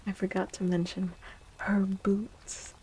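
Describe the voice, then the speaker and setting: A woman speaking softly and breathily, often near a whisper, in a gentle ASMR livestream style. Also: mid-range voice, little pitch movement, extremely slow, breathy edge, rough recording. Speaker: streamer and tarot reader. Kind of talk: soft-spoken ASMR livestream chat.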